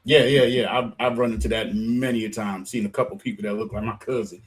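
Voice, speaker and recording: A man's voice: lowish voice, fairly even intonation, a little fast, breathy edge. A man chatting casually in short colloquial phrases. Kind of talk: casual chat.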